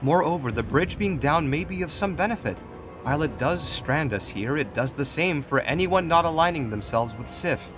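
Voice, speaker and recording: A man playing a composed, calculating god in authority, speaking in a measured, formal, diplomatic way in a fantasy audio drama. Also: on the high side, animated, over music, lightly acted. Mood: authoritative, pragmatic. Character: Raphael, composed, calculating male god in authority, gently chiding.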